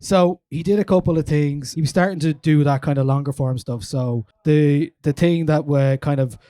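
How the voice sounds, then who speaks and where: A man's voice: on the high side, normal speed, warm tone, no echo. A man hosting a casual chat at a live album listening event, chatty and full of fillers. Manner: chatty, lots of "like" fillers, reads quotes aloud. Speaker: host.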